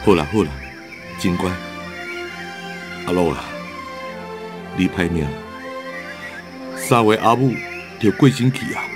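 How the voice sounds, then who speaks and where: A man's voice: sits low, expressive, takes it slowly, pauses often, over music. An actor playing a grieving, stern, hot-tempered rural father in a radio drama, speaking with strong emotion. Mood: gentle approval.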